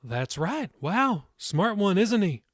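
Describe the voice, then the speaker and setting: A man's voice: fairly high pitch, very animated, a little slow, no room sound, clean studio sound, voice pushed up. A man voicing a kindly old farm woman with a folksy, teasing manner in an audiobook story. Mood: folksy, encouraging.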